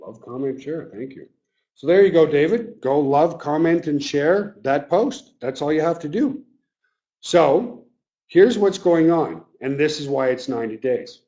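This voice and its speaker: A man hosting a live webinar, talking earnestly and emotionally to his team, his voice getting a little raspy. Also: on the high side, animated, measured pace, warm tone. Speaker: webinar host. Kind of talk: live webinar pep talk.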